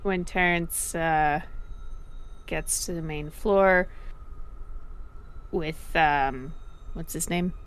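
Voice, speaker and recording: A woman improvising narration as a player in a tabletop game, casual and halting. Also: deep voice, fairly even intonation, clear, resonant tone.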